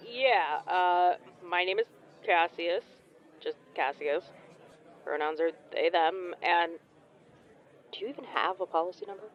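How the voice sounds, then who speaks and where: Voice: medium pitch, unhurried, lightly acted. An actor playing a casual, sardonic young nonbinary spokesperson in an audio drama, chatty and wry, in slightly dull sound. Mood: incredulous.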